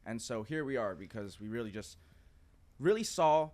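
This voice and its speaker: A man's voice: medium pitch, expressive, moderate pace, darkish tone. Upbeat man hosting a podcast. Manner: upbeat show intros, curious follow-up questions. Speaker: podcast host. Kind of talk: casual podcast chat.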